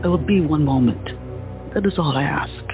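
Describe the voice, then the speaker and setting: Imperious, threatening lady, a bit high for a man, lo-fi man plays it. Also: normal speed, lightly acted. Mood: accusing. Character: haughty, demanding young woman. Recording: lo-fi, phone-like, with music.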